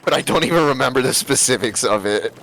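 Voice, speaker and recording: A man's voice: medium pitch, expressive, fast, fairly bright tone, a little hiss or hum, rough recording. A man chatting casually in a group, candid and given to joking. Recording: music playing behind the voice. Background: music.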